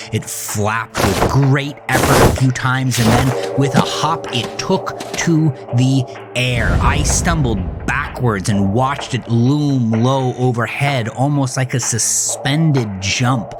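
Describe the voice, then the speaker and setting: Slow, brooding man acting a haunted horror narrator. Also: on the low side. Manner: intense, brooding, deliberate, profane. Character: haunted, rage-filled man retelling a teenage horror. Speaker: narrator of a horror audio drama. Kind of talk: dramatic first-person horror narration. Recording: music bed under the voice.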